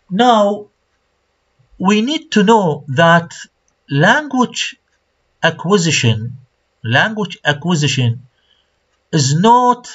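A man's voice: a little high, a bit bright, husky. A man with an Iraqi Arabic accent giving a recorded online lecture. He repeats key phrases and puts rhetorical questions to his students. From Iraq. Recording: dull lo-fi screen-recorded lecture audio.

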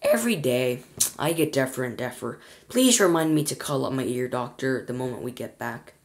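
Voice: mid-range voice, animated, normal speed, creaky. A reader puts on an old grandmother from a storybook. She is cranky, querulous and distrustful, forever complaining and easily alarmed. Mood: suspicious.

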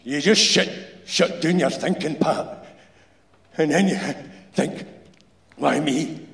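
A man's voice: fairly high pitch, slow pace, vocal fry, a bit bright, exaggerated voice. A man voicing a bitter, dying shipyard insulator with a Glasgow accent, blunt and emphatic, in a live story reading. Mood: bitter, resigned. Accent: Scottish, Glasgow. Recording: echoey hall, live stage PA.

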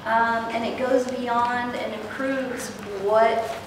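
A woman's voice: on the high side, flat delivery, slow. A middle-aged woman leading an interactive workshop, speaking with frequent ums and checking in with the room. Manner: upbeat, many ums, asks the room questions. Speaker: workshop instructor. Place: large meeting room with projector. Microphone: far camera, no mic. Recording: echoey room, distant, noisy.

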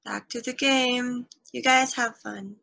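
A woman's voice: a little high, steady tone, takes it slowly, vocal fry, bright, forceful tone, full character voice. A young woman reading a bored, aloof space telescope. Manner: dismissive, uninterested. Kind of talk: amateur table read. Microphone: video call webcam.

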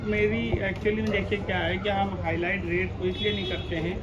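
A man's voice: sits high, steady tone, a little fast, highs cut off, over a noisy crowd. A male goat seller praising his animals persuasively on a street at night. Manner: proud, persuasive salesman. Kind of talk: casual sales chat.

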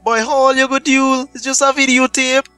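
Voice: high voice, level tone, normal speed, sharp tone, clean tone, no room sound, faint music behind. A performer voicing a brash, streetwise teenage boy sidekick with a Trinidadian accent in a parody anime dub. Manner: streetwise, complaining.

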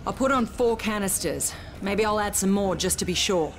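A woman's voice: mid-range voice, moderate pace, background noise, lightly acted. An actress playing a tough, foul-mouthed woman survivor in a zombie game, with a blunt, brash manner. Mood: determined. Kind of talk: video game cutscene acting.

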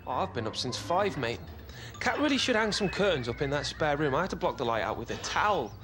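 Young British man: loud cheeky mate, high pitch swooping wildly, noisy ambience. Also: sing-song, a little fast. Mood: chatty, complaining. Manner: chatty, brash, calling out. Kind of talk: video game cutscene acting.

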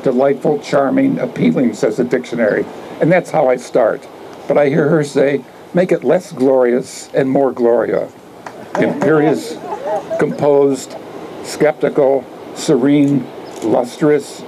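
An elderly man reads his poems aloud to a microphone in a garden. His voice is dark and relaxed, with a middle pitch. He places short phrases deliberately, in a declamatory way, over light ambient noise. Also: rough recording. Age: older, 70s. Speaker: poet reading own work. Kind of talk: live poetry reading with asides. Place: outdoor garden reading. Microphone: microphone on a stand.

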